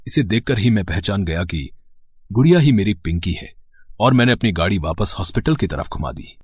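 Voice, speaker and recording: A man voicing a worried, wealthy father in an animated story, speaking earnestly and reassuringly with a husky voice. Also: on the low side, a little fast, no echo.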